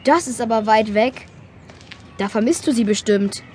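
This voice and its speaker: A woman's voice: a little high, normal speed, noisy background, close to an everyday voice. An actress playing a tiny, cheeky, confident young boy in a children's radio play, chirpy and showy in manner. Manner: chirpy, self-assured, showy.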